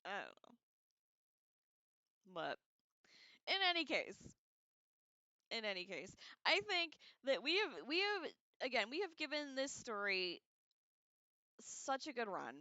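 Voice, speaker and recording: A woman's voice: on the high side, very animated, slow pace, long pauses, bright, forceful tone, no room sound. A woman hosting a casual, rambling livestream discussion with an irreverent, slangy manner, on a slightly dull microphone. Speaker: livestream host.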